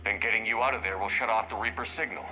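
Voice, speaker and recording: An actor playing a resolute military commander in a video game, direct, terse and assertive. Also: male voice, on the low side, fairly even intonation, quick pace, in a large hall, over music, lightly acted, low-quality recording. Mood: firm, questioning.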